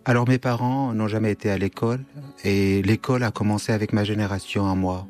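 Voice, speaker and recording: Radio guest, man, lowish voice, halting and searching for words. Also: fairly even intonation, a little mellow. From Syria. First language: Kurdish. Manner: hesitant, many restarts and fillers. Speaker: guest writer. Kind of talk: radio interview.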